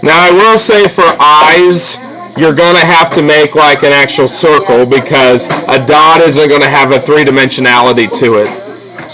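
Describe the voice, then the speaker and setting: Bright-voiced man teaching an art class. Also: fairly high pitch, poor audio. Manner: casual, checking in with listeners often. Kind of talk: step-by-step drawing lesson to a class.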